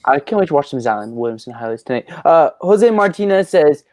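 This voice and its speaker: A man's voice: on the high side, lively intonation, a little fast, darkish tone, no room sound. A nineteen-year-old man hosting a casual Q&A from home, upbeat and teasing, laughing often as he reads out viewer questions. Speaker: host of a Q&A livestream. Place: at his rural home.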